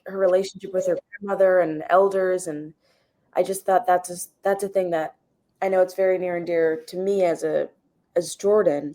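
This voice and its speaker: A woman's voice: fairly low pitch, a little mellow, low-quality recording. A young woman actor giving a casual, reflective answer as an interview guest. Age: young adult.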